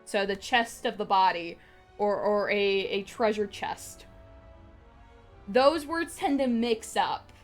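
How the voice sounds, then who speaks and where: A woman's voice: mid-range voice, slow, faint music behind. Chatty young woman with a slight lisp. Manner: rambling, many fillers and restarts, laughs often. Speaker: streamer. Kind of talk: casual live-stream chat.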